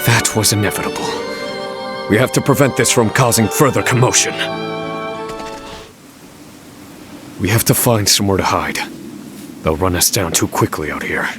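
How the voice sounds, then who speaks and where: Actor as a deep-voiced, grave scholar. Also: male voice, over music, natural voice. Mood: grave, resolute. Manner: measured, formal, erudite diction. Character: grim, brilliant adult male scholar escaping prison. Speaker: fantasy game character. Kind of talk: dramatic video game voice acting.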